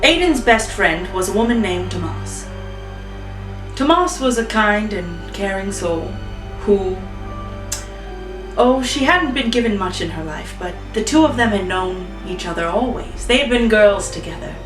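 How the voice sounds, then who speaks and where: A woman's voice: mid-range voice, lively intonation, a little slow, distant mic. A woman spins an improvised tale in a loose, chatty way, thinking aloud as she goes. She sits some way back from the mic in a roomy, echoey space, with faint music underneath. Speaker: storyteller. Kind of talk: casual improvised storytelling.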